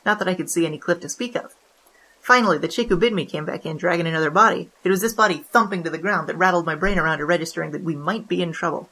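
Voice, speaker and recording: A woman's voice: fairly low pitch, fast, a bit bright, rough recording. A woman playing a brash, boastful young bandit king who tells wisecracking, slangy stories in a 1920s noir comedy audio drama, with music behind. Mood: rueful storytelling. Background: background music.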